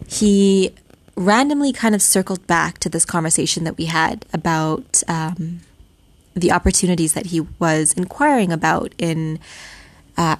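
Young canadian woman rambling through a travel story on her podcast, bit low voiced, laughs now and then. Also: no room sound. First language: Tamil. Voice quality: chatty, rambling with restarts and laughs. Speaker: podcast host. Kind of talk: casual storytelling monologue.

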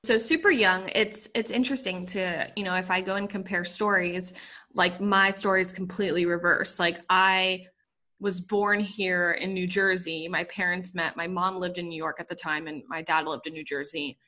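Young woman podcast host, chatty, fillers and asides, lowish voice. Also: steady tone, normal speed, pushed and bright. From Puerto Rico. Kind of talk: casual podcast interview.

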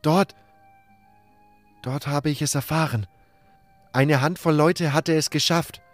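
Guy doing a freaked out young mage, high shaky stammer, grieving, fantasy audiobook with music. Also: fairly even intonation, no echo. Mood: shaken, anxious. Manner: stammering, hysterical, halting. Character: panicked, grief-stricken young male mage, stammering survivor. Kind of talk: audiobook character acting.

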